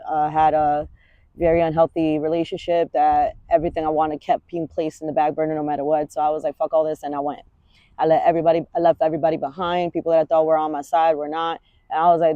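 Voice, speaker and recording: A woman's voice: deep voice, no room sound, phone-quality sound. A woman, a fitness coach talking candidly in a rambling, casual vlog and swearing freely. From Washington Heights, New York. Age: 30s.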